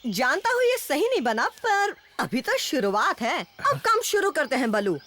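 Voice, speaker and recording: A woman's voice: high voice, sing-song, moderate pace. A woman voicing an eager, curious young jungle boy in a cartoon, full of enthusiasm. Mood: eager, cheerful. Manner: bright-eyed, enthusiastic. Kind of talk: Hindi cartoon dub acting.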